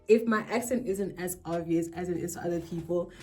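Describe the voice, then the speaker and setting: South African female vlogger, twenties, English learned as second language. Slightly low voice, playful casual chat that wanders off, faint music underneath. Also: brisk, a little mellow. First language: non-English South African language. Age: 20s. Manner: playful, rambling asides and self-interruptions. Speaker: streamer and vlogger. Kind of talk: casual chatty vlog to camera. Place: alone in a bedroom.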